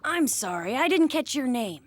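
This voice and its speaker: A woman's voice: high-pitched, very animated, normal speed. A woman voicing a composed, methodical young investigator in an anime dub, polite and self-assured.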